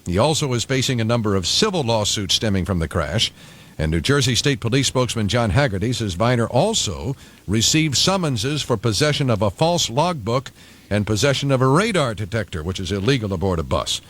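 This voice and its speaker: A man's voice: medium pitch, animated, brisk, a bit bright. A man anchoring local news on the radio, reading from a script in a studio.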